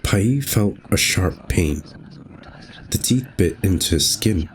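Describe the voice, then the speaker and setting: Male game narrator and host, low-pitched delivery, faint noisy ambience underneath. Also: lively intonation, takes it slowly. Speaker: gaming video host and narrator. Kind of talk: reading visual novel narration aloud, with casual commentary.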